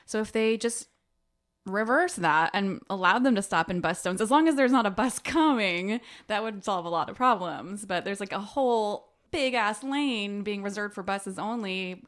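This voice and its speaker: A woman's voice: mid-range voice, animated, moderate pace, fairly bright tone. Giggly American woman chatting casually. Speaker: podcast co-host. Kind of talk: casual book-club podcast chat.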